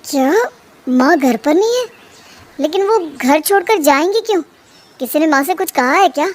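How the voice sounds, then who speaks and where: A woman voicing a puzzled, concerned young husband in an animated family story. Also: high-pitched, moderate pace. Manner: bewildered questioning.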